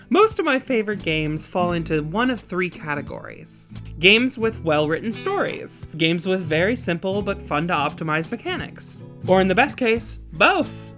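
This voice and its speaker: A woman hosts a scripted video essay. Her delivery is highly animated, with playful, theatrical emphasis on key phrases. Faint music plays behind her voice. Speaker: host of a video essay channel.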